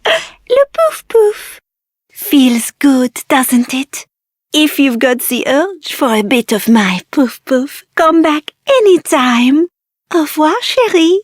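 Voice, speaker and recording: A giggly, flirtatious French showgirl from a video game. Her voice is high and lively, moving slowly and teasingly through a French accent. The sound is dry and close. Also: no echo, exaggerated voice. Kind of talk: video game character acting.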